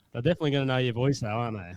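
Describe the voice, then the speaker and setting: A young Australian man in his late twenties hosting a casual podcast. He talks in a laid-back, blokey way at a middle pitch, and his voice sounds dry and close, with little room echo. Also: quick pace, a little mellow. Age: 20s. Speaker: podcast host.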